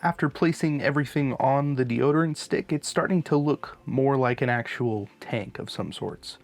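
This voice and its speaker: A man's voice: medium pitch, moderate pace, gravelly, faint music behind. A man hosting a hobby channel, giving a casual, informal voice-over tutorial in a laid-back manner. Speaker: host of a miniature hobby channel.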